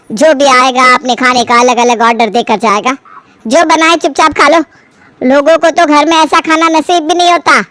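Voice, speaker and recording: A woman's voice: high voice, fairly bright tone, dry recording. A woman voicing an indignant, short-tempered middle-aged mother who scolds with sarcasm.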